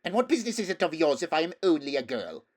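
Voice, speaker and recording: A man's voice: a little high, very animated, fast talker, voice pushed up. A man voicing a fierce, haughty young noble girl in a storybook, snappish and scornful. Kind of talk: storybook character voice.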